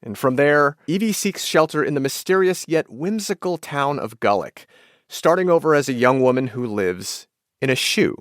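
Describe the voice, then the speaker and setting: A man's voice: mid-range voice, lively intonation, moderate pace, dry recording, studio quality. A man hosting a radio podcast, mixing scripted narration with conversational interview questions.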